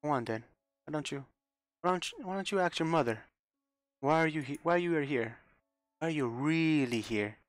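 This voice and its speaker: A man reading as a manipulative young man who speaks softly and coaxingly. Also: a little high, lively intonation, normal speed, pauses often, no echo, clean studio sound, soft background music, pitched above the performer's own voice, lightly acted. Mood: taunting.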